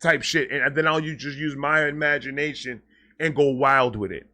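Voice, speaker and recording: A man talking casually and off the cuff to his viewers, excitable and rambling, often repeating and stammering over words, with slangy, sweary phrasing. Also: fairly high pitch, normal speed. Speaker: online video creator and host. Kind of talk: casual unscripted vlog talk to viewers.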